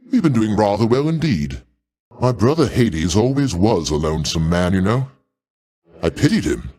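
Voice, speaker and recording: An imperious ruler of the gods, a genial uncle with a grand sense of his own authority. His lowish voice sweeps dramatically up and down through formal, pompous phrasing. It is theatrical video game character work, avuncular and commanding.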